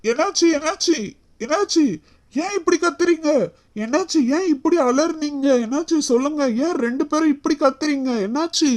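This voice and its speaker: A performer voicing a bossy, confident young village husband, brisk and repetitive, in an animated story. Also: sits high, natural voice. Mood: alarmed. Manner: brisk, bossy, repeats himself.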